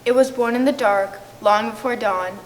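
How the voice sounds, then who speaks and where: A woman reads poetic liturgy from a script in church. Her pitch is slightly raised, and there is faint noise behind her. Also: moderate pace, low-quality recording. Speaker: reader at a church service. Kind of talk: scripted poetic reading, shared among readers.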